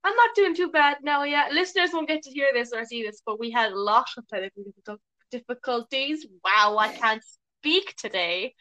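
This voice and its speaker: A woman's voice: a little high, lively intonation, moderate pace, bright, forceful tone, rough recording. A woman hosting a casual podcast in an informal, jokey way. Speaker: podcast host.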